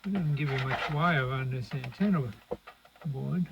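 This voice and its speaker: A man's voice: mid-range voice, measured pace, gravelly. A man giving casual, unscripted commentary as he demonstrates a hands-on repair, murmuring through each step as he works. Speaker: host of a radio restoration channel. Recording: dull lo-fi audio with loud noise, clicks.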